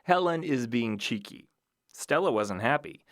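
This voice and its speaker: A man's voice: medium pitch, animated, unhurried, dry recording, clean studio sound. A man hosting a history podcast, reading scripted narration with dramatic, playful storytelling. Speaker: podcast host.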